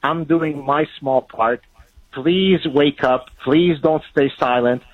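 A man from Lebanon whose first language is Arabic, speaking as a guest in emphatic, forceful commentary. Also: a little high, takes it slowly, no room sound. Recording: phone-line audio.

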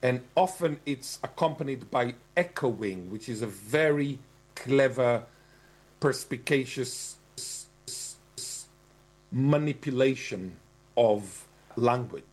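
A man speaking slowly and deliberately in an interview excerpt, searching for words. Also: mid-range voice, expressive, darkish tone, poor audio.

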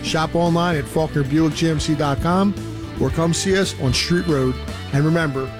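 A man reading a scripted car dealership pitch for a radio advertisement. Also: fairly even intonation, moderate pace, music in the background.

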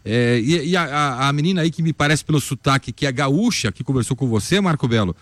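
A man's voice: mid-range voice, lively intonation, fairly quick, fairly bright tone, no room sound. A man hosting from the radio studio, talking in a conversational way and asking questions of a reporter in the field. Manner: conversational, asks many questions. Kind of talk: live radio cross-talk.

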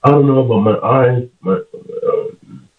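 A man's voice: mid-range voice, huge pitch swings, unhurried. A man calling into a show who is sick, with a stuffy nose and a fading voice, repeating prayers and declaring himself healed. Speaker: regular caller. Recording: phone line.

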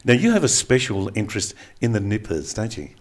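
A man's voice: lowish voice, brisk. A man hosting a local radio show, chatting casually and reading out sponsor ads. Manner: chatty, reads ads in a relaxed way.

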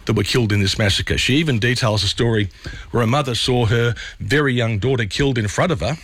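Australian radio host, slightly scratchy voice. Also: male voice, medium pitch, fast talker, fairly bright tone, husky, dry recording. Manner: conversational, walks listeners through the news. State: slightly scratchy voice from a chest cold. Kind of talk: evening talk radio commentary. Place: radio studio.

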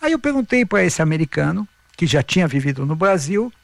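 A man's voice: fairly high pitch, a little fast, gravelly, no echo. A Brazilian man in his fifties, a marathon runner, telling stories animatedly in a casual interview. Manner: animated, acts out quoted voices.

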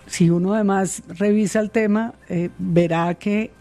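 A woman, answering interview questions in a measured way, with many pauses and fillers. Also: medium pitch, steady tone, warm tone, faint music behind. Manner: measured, hesitant with fillers and repeated words. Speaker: interviewed guest.

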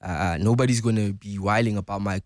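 A South African man, a community radio presenter, answering casually and haltingly with many verbal pauses. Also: fairly low pitch, fairly even intonation, darkish tone, no room sound, studio quality.

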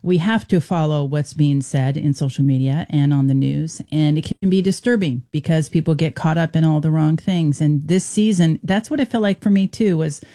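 A man hosting a radio show, lively and effusive as he talks with a guest. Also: a little high, moderate pace, few pauses. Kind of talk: casual radio interview.

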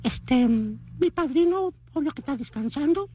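A man's voice: very high-pitched, expressive, measured pace, dark tone. A man from Tijuana with a Mexican accent, rambling playfully and boastfully as a caller leaving a message.

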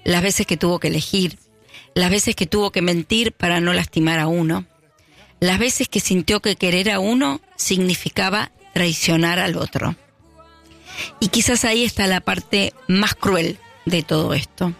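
Gravelly high-voiced Argentine radio host. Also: male voice, fairly bright tone, no room sound. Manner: measured, emphatic pauses. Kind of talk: reflective radio monologue. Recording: radio broadcast with music beneath.

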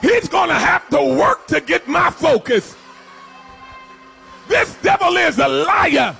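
Shouting high-pitched preacher man. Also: lively intonation, slow, gravelly, soft background music. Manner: exhorting, commanding the congregation. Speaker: pastor. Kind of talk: shouted call-and-response sermon.

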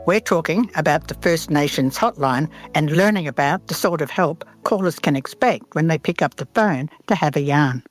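Radio host, female: friendly interviewer, clear questions, faint music underneath. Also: low-pitched, animated, normal speed, few pauses.

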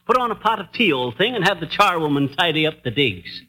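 An actor voicing a husband who puts on an exaggerated British accent as a pompous, hearty English gentleman in radio comedy. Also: on the high side, very animated, fairly quick, heavily acted. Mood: jolly. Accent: put-on exaggerated British. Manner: pompous, hearty, old-fashioned slang. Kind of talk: old-time radio comedy acting. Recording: noisy vintage radio broadcast recording.

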